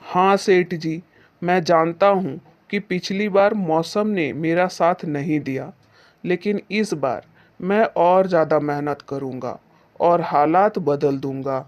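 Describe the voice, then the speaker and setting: A man's voice: fairly high pitch, takes it slowly, highs cut off. A man voicing a humble, earnest poor farmer in a moral-story cartoon, speaking respectfully and with feeling. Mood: earnest, determined. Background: background music.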